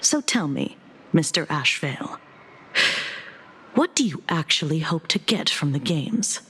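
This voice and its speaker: An actress voicing a shrewd public official in a video game, formal, measured and coolly teasing. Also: female voice, low-pitched, big pitch swings, a bit bright, breathy edge, long reverb tail, heavily acted. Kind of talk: video game story dialogue.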